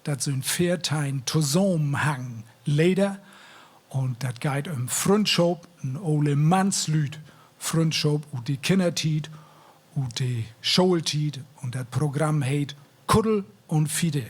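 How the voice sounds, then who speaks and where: A man's voice: fairly high pitch, takes it slowly, slightly rough. A man with a North German accent, a singer chatting to his audience between songs in a folksy way at a live concert. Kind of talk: stage banter between songs. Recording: live concert sound with music. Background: music.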